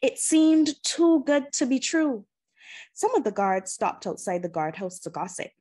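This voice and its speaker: A woman reading an adventure novel aloud as a storyteller, with expressive, dramatic and deliberate phrasing. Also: high voice, breathy edge. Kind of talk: expressive scripted narration of a children's adventure novel.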